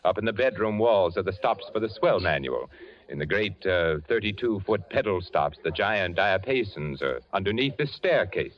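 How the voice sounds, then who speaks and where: An actor playing a cultured, eccentric rich widower in a 1940s radio drama, speaking in a suave, courtly way with soft chuckles. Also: male voice, lowish voice, expressive, normal speed, close to an everyday voice. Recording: muffled 1940s radio broadcast with organ music.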